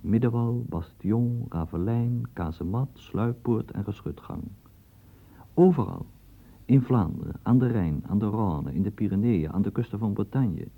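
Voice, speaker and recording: A man's voice: lowish voice, lively intonation, unhurried, gravelly, breathy edge. A man narrating a radio play and guiding the listener through the scene like a storyteller. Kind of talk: scripted radio drama narration. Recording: tinny lo-fi old radio recording.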